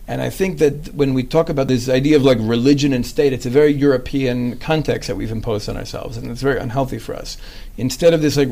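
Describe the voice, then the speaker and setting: A man's voice: mid-range voice, vocal fry, darkish tone. A man hosting a podcast interview, talking earnestly and at length, with many "like" fillers. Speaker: podcast host. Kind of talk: conversational interview.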